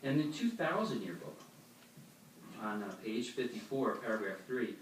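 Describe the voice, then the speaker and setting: A man's voice: medium pitch, sing-song, moderate pace. Man speaking in a very echoey hall. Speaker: congregation speaker giving a demonstration. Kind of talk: instructional religious talk with read-out anecdotes. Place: echoey meeting hall before an audience. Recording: echoey hall, distant mic, faint noise.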